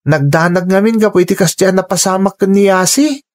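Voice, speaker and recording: A performer acting a caring young older brother in a radio drama, gentle and respectful. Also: mid-range voice, fairly quick, natural voice. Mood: respectful, explaining.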